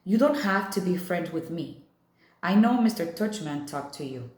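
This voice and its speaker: A woman's voice: lowish voice, lively intonation, moderate pace, a little mellow, roomy sound, close to an everyday voice. Woman playing a sullen, guarded young schoolboy. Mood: accusing.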